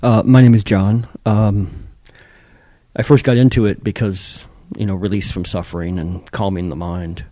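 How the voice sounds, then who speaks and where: A man's voice: on the low side, fairly even intonation, normal speed, mellow. A man modestly and tentatively sharing his meditation experience in a group. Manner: modest, self-deprecating, tentative.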